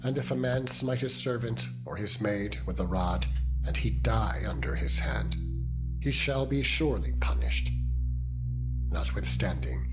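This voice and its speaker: A man narrating scripture in a dramatized style, solemn and deliberate with measured phrasing. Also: on the low side. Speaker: Bible narrator. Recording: background music under the voice. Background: music.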